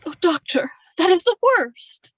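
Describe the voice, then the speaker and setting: A woman's voice: very high-pitched, big pitch swings, a little slow, no room sound, exaggerated voice. A woman playing an unhappy, emotional young widow in a radio drama. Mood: distressed, confiding. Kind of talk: old-time radio drama acting.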